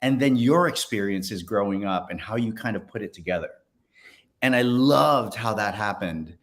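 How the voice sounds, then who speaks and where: A man's voice: fairly low pitch, expressive, moderate pace, fairly bright tone. A man conducting a casual, conversational interview with a fellow actor.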